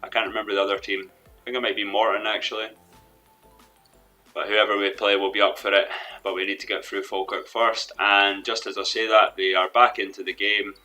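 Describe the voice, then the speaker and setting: A young man commentating his own football management game in a rambling, hesitant way, his voice a little hoarse from losing it. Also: fairly low pitch, flat delivery, brisk, pushed and bright. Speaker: gaming commentator. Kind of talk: let's play commentary. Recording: faint background music.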